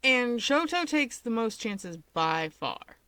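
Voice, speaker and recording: A woman's voice: on the high side, big pitch swings, slow pace, fairly bright tone, dry recording, heavily acted. A woman voicing an easygoing young man who explains things in a breezy, dismissive and cheerfully nonchalant way.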